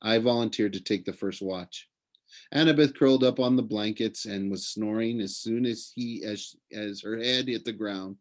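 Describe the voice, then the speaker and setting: A man's voice: lowish voice, moderate pace. A man narrating a storybook aloud for a classroom, sometimes stumbling and correcting himself. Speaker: reader of a classroom audiobook.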